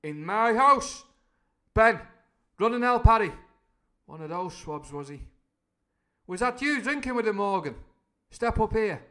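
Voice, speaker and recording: Guy doing a big shouty pirate landlord, bossy, voice swinging all over. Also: lively intonation, normal speed. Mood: agitated. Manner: booming, blustering, sailor's speech. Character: loud gruff male pirate landlord, domineering.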